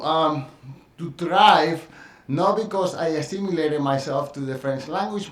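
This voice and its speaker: A man's voice: on the high side, roomy sound. A middle-aged man from Colombia with a Spanish accent, talking earnestly about his experience, with frequent fillers and restarts. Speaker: dance teacher sharing his experience. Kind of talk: personal monologue.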